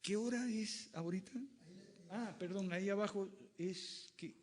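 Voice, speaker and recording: A man's voice: sits high, measured pace, darkish tone. A man giving a classroom lecture with slides, emphatic and fond of rhetorical questions. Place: lecture room. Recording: reverberant lecture hall.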